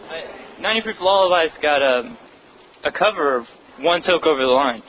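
A man interviews a musician with prepared, slightly formal questions. His voice is bright and sits a bit high, with faint room noise behind. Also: expressive, moderate pace, rough recording.

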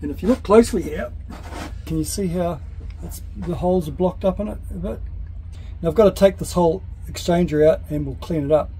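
A man's voice: high voice, brisk, rough recording. A man in his forties with a New Zealand accent, explaining boat engine work to a handheld camera on his sailboat. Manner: chatty, practical, explains boat mechanics step by step. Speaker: sailing vlogger. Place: sailboat, outdoors at sea and on deck at night. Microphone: handheld camera at arm's length. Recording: loud wind and engine noise.

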